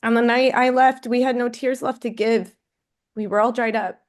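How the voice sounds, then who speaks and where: A woman's voice: on the high side, fairly even intonation, moderate pace, natural voice. A woman playing a grieving but resilient mother, earnest and tender, in a dramatic table read. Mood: sorrowful. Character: grieving mother, tender and resilient toward her estranged husband.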